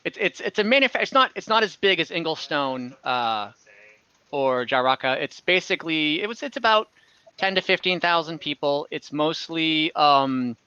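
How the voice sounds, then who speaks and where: A man's voice: on the high side, expressive, normal speed, pushed and bright, clean tone, dry recording, lo-fi sound. A man running a tabletop game as game master, explaining the world in a conversational, improvised narration over an online call.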